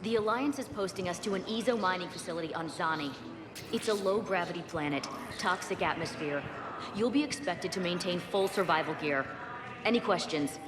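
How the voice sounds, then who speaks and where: A woman's voice: lowish voice, a little fast, close to an everyday voice. An actress playing a no-nonsense female army sergeant in a sci-fi game, authoritative and military in manner. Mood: businesslike. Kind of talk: video game voice acting. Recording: echoey hall with clear ambient noise.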